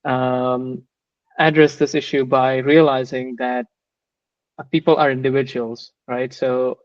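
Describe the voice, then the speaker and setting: A man with an Indian accent speaks in a dry, slightly dull recording. His pitch stays fairly even as he gives thoughtful interview answers, often pausing and repeating words. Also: no echo. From Bangalore, southern India. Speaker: interview guest, engineering manager.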